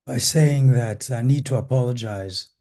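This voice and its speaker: An older man, hoarse with a cold and losing his voice, recalls history in a measured, halting panel talk as a retired academic. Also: medium pitch, mellow. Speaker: panelist, retired professor and former association president.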